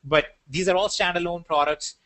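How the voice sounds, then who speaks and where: A man's voice: high voice, fairly even intonation, normal speed, a bit bright, dry recording. A man with an Indian accent, co-hosting a business webinar and explaining products in a casual, joking way. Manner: joking, then plainly explaining. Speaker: webinar co-host.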